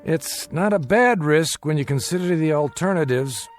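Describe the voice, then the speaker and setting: An actor in a radio drama playing a calm, careful married father who is secretly plotting, with a guarded manner. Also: fairly high pitch, moderate pace, soft background music, natural voice. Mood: guarded, secretive.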